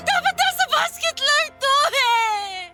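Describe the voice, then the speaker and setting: A woman's voice: very high-pitched, sing-song, slow pace, over music, heavily acted. An actress playing a grieving, pleading young woman in a Filipino radio drama, with a Filipino accent and a tearful delivery. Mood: desperate pleading.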